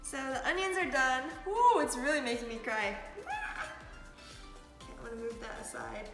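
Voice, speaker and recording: A woman's voice: high-pitched, sing-song, measured pace. A woman talking casually through a cooking tutorial in a kitchen, chatty and loose with lots of fillers. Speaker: cooking vlogger. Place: home kitchen. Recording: echoey room with background music.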